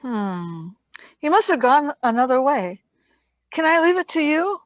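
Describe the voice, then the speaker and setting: A woman playing a brisk, pert young female companion in a radio play reading, on a slightly muffled microphone. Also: high voice, sing-song, no room sound.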